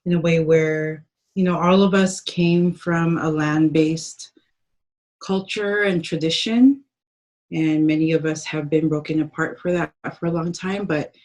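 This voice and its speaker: A woman from the northern Philippines, a guest in an interview, speaking slowly and thoughtfully with many pauses. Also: low voice, steady tone, a little mellow. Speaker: guest, herbalist and organizer. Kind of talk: reflective interview talk. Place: home, in the Bay Area.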